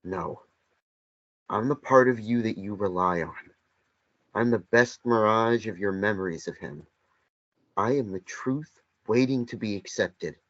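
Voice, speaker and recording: Man reading the calm, insistent memory of a dead lover. Slow, husky delivery, muffled recording. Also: on the low side. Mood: earnest. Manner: slow, gentle, earnest. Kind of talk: table read of a stage play. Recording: dull lo-fi sound.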